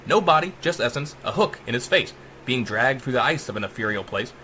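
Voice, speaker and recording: A man narrating a dark rhyming story as a dramatic audiobook, with theatrical, emphatic rhythm. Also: mid-range voice, lively intonation, fairly quick, a little hiss or hum.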